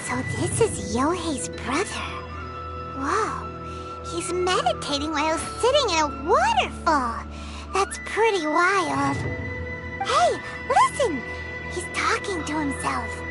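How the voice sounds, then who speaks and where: Game cast: a squeaky, bouncy little companion creature, with game music behind. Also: female voice, very animated, slow pace, full character voice. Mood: amazed. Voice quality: very high, childlike. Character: tiny floating companion creature, girlish and eager. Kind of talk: video game voice acting.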